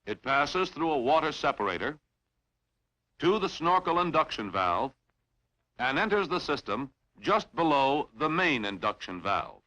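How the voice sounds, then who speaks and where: A man reading scripted instructional narration in a formal, measured, clearly enunciated way, as in a training film. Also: on the high side, big pitch swings, bright, forceful tone, muffled recording.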